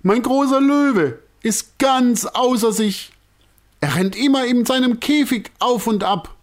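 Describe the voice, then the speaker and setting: A man voicing a panicky male circus director in a storybook, with a high, strained, lamenting voice. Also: slow pace. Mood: distraught. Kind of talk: storybook character voice.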